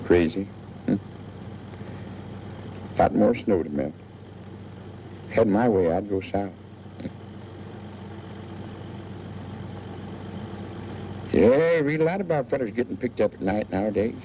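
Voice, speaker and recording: A man's voice: on the low side, expressive, normal speed, long pauses. An actor playing a chatty small-town newspaperman in an old film, with an American accent and a folksy, rambling manner in clipped sentences. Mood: musing, wary. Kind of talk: 1940s film acting. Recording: dull old film soundtrack, faint noise. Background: car engine.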